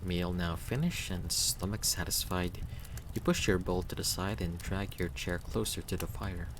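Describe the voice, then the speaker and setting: A man's voice: low-pitched, moderate pace, noisy background. A man reading a text game aloud as narrator, in a scripted storytelling style.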